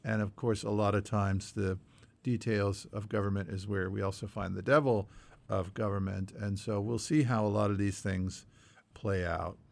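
A man co-hosting a podcast, talking through news points in a measured, explanatory way with frequent qualifiers and a gravelly edge. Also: on the low side, steady tone. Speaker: podcast co-host. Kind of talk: conversational news discussion working through numbered points.

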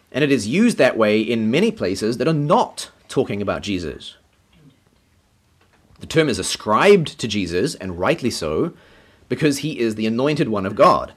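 A man preaching a teaching sermon, explaining scripture in a measured, explanatory way. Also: medium pitch, slightly rough. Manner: explanatory, measured, occasional light laugh.

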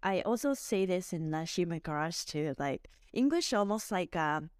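A woman in her forties speaks English with a Japanese accent as a podcast guest. She is warm, laughs often and fills her sentences with "um" and "like". Her voice is a little low and smooth, recorded close and dry. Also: animated, normal speed, no room sound. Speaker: guest, dance instructor. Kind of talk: casual interview.

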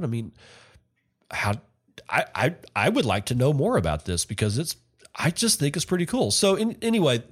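Rambling chatty male podcast host. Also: mid-range voice. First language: not Finnish. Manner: rambling, self-correcting, chatty. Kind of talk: casual solo podcast commentary.

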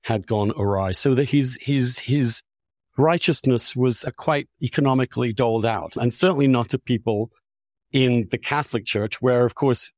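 A man's voice: medium pitch, moderate pace, darkish tone, dry recording, clean studio sound. A man talking candidly and with strong opinions, articulate and emphatic, often restarting his phrases. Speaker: podcast host.

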